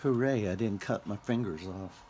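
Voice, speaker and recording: A man giving casual, unscripted unboxing commentary as a vlogger, speaking in short clipped remarks with pauses. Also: on the low side, warm tone, a little hiss or hum.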